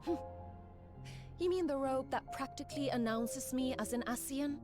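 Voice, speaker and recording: A woman's voice: sits high, over music, lightly acted. A woman voicing a coy, fussy horned villainess in a video game, with a dramatic, theatrical delivery. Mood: flustered, coy. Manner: dramatic, coy, drawn-out exclamations. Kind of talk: scripted video game cutscene acting.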